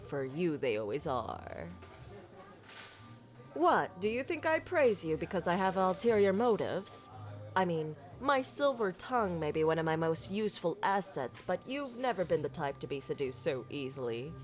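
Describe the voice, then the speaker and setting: A woman playing a flirty, silver-tongued pirate captain in a romantic ASMR roleplay, tender and sincere, with flowery, theatrical phrasing and deliberate pauses. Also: fairly low pitch, very animated. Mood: flirty, charming. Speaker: audio roleplay performer. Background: background music.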